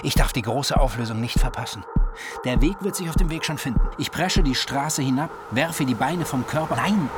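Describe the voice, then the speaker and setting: A man's voice: mid-range voice, expressive, normal speed, natural voice. A male actor playing a manic, paranoid writer in psychotic breakdown, narrating a literary radio-play monologue with feverish intensity. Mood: driven, elated. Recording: loud music under the voice. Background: prominent music.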